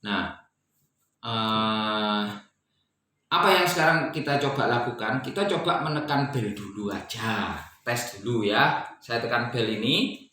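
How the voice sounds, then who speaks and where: An Indonesian man gives a casual, step-by-step installer's tutorial in a bright, forward voice. He sounds some way from the microphone in a very echoey room, explaining each step and checking in as he goes. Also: medium pitch, expressive, measured pace. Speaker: installer demonstrating a product setup. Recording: echoey room, distant mic, faint noise.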